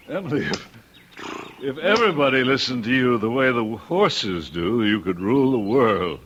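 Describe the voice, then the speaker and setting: Lively, hesitant, teasing uncle. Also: male voice, mid-range voice, a little slow. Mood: resigned, joking. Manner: hesitant, stammering, wry. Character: kindly, protective uncle, teasing and awkwardly tactful. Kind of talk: old-time radio drama acting. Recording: dull vintage radio drama recording.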